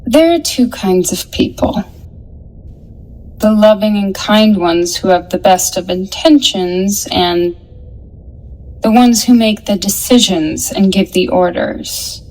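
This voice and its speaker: A woman's voice: mid-range voice, takes it slowly, darkish tone, close to an everyday voice, low-quality recording. A young woman acting in an audio drama as a cool, scheming, slangy teenage girl in a 1950s gang, offhand and sardonic. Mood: coolly persuasive.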